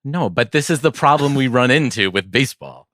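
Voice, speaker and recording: A man's voice: medium pitch, animated, a little fast, a bit bright, no room sound, studio quality. A man co-hosting a podcast in casual chat, musing and agreeable, a little hesitant. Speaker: podcast co-host.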